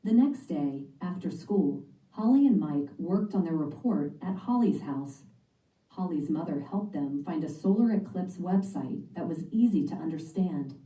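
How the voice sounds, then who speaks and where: Woman narrator for a children's read-aloud, low dark mellow voice, roomy distant mic. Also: expressive, unhurried.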